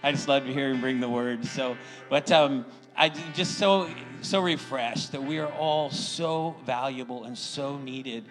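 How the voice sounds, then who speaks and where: A man's voice: mid-range voice, measured pace, a bit bright, low-quality recording. Middle aged american guy pastor, folksy and encouraging church welcome talk, faint guitar music behind him. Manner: folksy, encouraging, checks in with "right?". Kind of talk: informal sermon and church announcements.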